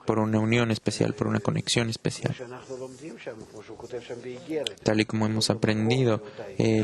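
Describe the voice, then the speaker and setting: A man with a low, gravelly voice gives an unscripted lesson in a language that is not his first. He talks haltingly, with frequent pauses and restarts. A crowd can be heard clearly behind him. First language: not Spanish. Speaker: Kabbalah teacher. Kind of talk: unscripted lecture.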